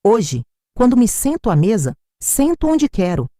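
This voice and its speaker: A woman with a Brazilian accent narrating a scripted first-person story in a measured, reflective manner. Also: on the high side, sing-song, mellow, no room sound, clean studio sound.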